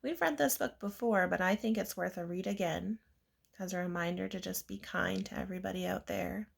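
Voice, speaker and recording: A woman reading a children's picture book aloud in an expressive storytelling style, with deliberate, emphasized phrasing. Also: mid-range voice, gravelly. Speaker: read-aloud reader.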